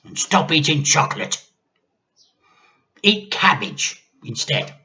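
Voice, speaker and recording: A man voicing a nasty, gleefully menacing old grandmother in a storybook, with drawn-out, gloating delivery. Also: fairly high pitch, sing-song, bright, forceful tone, muffled recording, voice pushed up, heavily acted. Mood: scolding. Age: older. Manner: drawn-out, gloating, sometimes throaty whispering.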